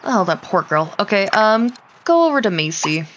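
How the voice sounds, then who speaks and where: A woman's voice: medium pitch, big pitch swings, normal speed, background noise. A woman casually commenting on her life-simulation gameplay, chatting to her sims and laughing often.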